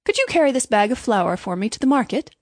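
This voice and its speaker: A woman's voice: fairly high pitch, very animated, fast talker, no room sound, clean studio sound. A woman voicing a pompous, greedy male miller in a storybook, preachy and self-satisfied while posing as generous. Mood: wheedling. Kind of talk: storybook character voice.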